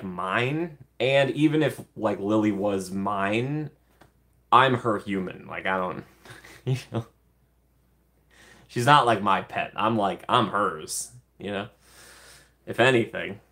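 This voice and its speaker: A young man in his twenties hosts a laid-back livestream from a small room at his desk, and his voice sits a little low. He chats easily and answers viewer comments as they come in. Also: fairly bright tone. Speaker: livestream host. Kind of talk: casual livestream chat.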